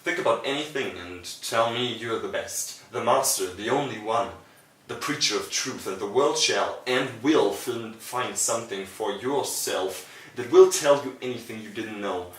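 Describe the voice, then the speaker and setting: A man performs spoken-word poetry in a fairly low voice. His phrasing is deliberate and declamatory. He sounds some distance from the microphone, in a roomy, echoing space. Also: a bit bright. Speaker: poet, performer. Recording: roomy echo, distant from mic.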